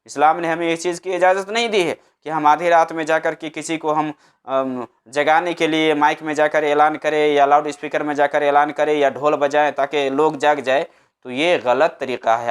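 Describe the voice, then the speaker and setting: Male preacher, slightly high smooth voice, didactic religious guidance with emphatic repetition. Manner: didactic, emphatic, repeats his points in short phrases. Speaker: Islamic preacher answering a religious question. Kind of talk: religious advice talk.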